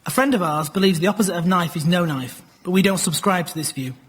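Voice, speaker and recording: High-voiced man on a very noisy recording. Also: fast. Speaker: guest contestant. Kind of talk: presenting a tongue-in-cheek theory on stage.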